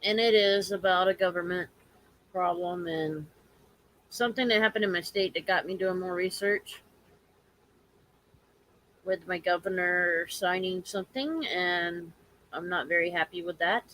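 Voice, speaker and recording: Hoarse woman chatting on a live stream. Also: on the low side, a bit bright, poor audio. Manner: halting, repetitive, earnest. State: hoarse from allergies. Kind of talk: casual live-stream chat.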